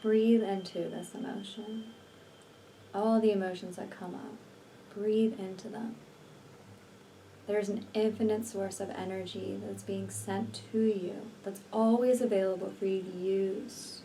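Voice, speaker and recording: A woman guides a healing meditation in a mellow, relaxed voice. She speaks slowly and soothingly, letting long pauses sit between deliberate phrases. The recording sounds a little distant, with faint noise behind her. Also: medium pitch. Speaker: energy healer and meditation guide.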